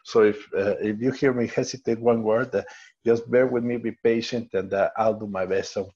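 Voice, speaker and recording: A man's voice: fairly low pitch, fairly even intonation, moderate pace, darkish tone, husky, muffled recording. A man from Mexico with a Mexican Spanish accent, Spanish his first language, giving an online training talk with many fillers and restarts. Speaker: network marketing trainer.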